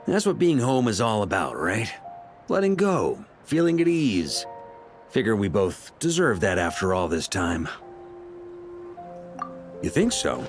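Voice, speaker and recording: A man's voice: fairly low pitch, big pitch swings, a little slow, long pauses. An actor playing an easygoing male knight in a video game, talking in a casual, wry and reflective way over background music. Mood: relaxed, friendly.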